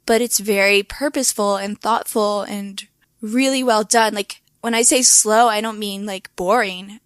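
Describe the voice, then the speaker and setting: Hoarse chatty woman podcaster, dry sound. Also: mid-range voice, fairly bright tone, no room sound. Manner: chatty, lots of "like" fillers. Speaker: podcast co-host. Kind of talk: casual chat.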